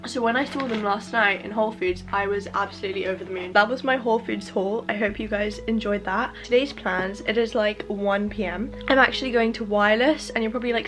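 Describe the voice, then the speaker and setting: Young woman, casual vlog talk, rambling, self-mocking, bedroom, handheld camera, music faintly behind. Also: medium pitch. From England. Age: young adult. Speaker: vlogger. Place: bedroom at home. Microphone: camera at arm's length.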